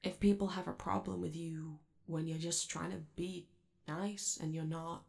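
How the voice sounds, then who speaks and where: Casting: woman to play sweet, fidgety robot crewmate for ASMR comfort audio; slightly low, gravelly fry, shy rambling manner. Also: fairly even intonation, a little slow, a little mellow. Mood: reassuring, earnest. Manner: hesitant, rambling, fidgety, reassuring. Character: friendly, nervous, chatty robot alien crewmate, gentle and awkward. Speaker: ASMR roleplay performer. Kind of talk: ASMR comfort roleplay, conversational.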